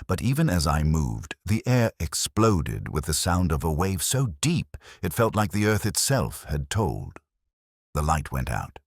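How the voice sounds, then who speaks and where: Male storyteller, extremely low voice with animated swings, solemn mystery narration, dry close sound. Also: breathy edge, dry recording. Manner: measured, solemn, literary phrasing. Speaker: audiobook narrator. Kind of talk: dramatic scripted mystery narration.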